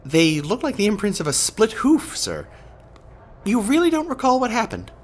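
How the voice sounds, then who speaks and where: Lively, inquisitive male hospital nurse. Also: high-pitched, moderate pace, husky, full character voice. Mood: politely puzzled. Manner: formal, inquisitive. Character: hospital nurse, puzzled, politely inquisitive man. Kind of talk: radio horror drama acting.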